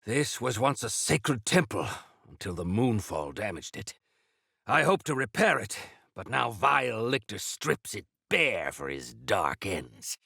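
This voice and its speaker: An actor playing a spry, jovial yet fierce old rebel elder in a video game, with a gravelly voice and a grandiloquent, mischievous manner. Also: male voice, on the low side, very animated, unhurried, pushed and bright, no echo, studio quality, exaggerated voice. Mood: wry, grave. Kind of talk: theatrical video game character dialogue.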